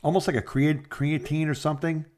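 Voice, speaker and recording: A man's voice: fairly high pitch, little pitch movement, brisk, a little mellow. An older man, a podcast co-host in a casual phone chat, giving advice in an easygoing, rambling way.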